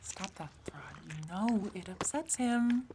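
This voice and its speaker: Motherly homemaker, mid-pitched, cooing, fussy, defensive, faintly noisy interview setting. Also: lively intonation, slow pace, mellow. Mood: scolding. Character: doting, scolding motherly wife fussing over adopted creature.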